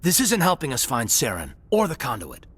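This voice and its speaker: An actress playing a stern, businesslike squad member in a video game, terse and pressing. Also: female voice, extremely low pitch, wildly swooping pitch, brisk, breathy edge, exaggerated voice. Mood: stern, impatient. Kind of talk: scripted video game dialogue.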